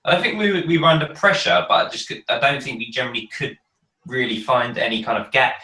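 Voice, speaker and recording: A man with a croaky, cold-hoarsened voice, chatting casually with many ums on a fan podcast. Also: medium pitch, lively intonation, quick pace, pushed and bright, echoey room, far from the mic. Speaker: podcast panelist. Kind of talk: casual chat.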